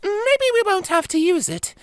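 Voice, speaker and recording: A man's voice: very high voice, huge pitch swings, normal speed, pitched above the performer's own voice, full character voice. A man voicing a worried, timid young princess filly with an anxious, questioning way of talking in a storybook reading. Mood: anxious, hesitant.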